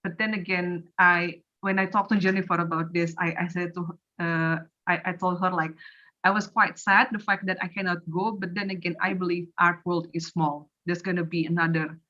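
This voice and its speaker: A woman's voice: lowish voice, steady tone, normal speed, pushed and bright. A woman from Indonesia, a native Indonesian speaker, hosting a casual online panel discussion. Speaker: host and curator. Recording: slightly dull lo-fi online audio.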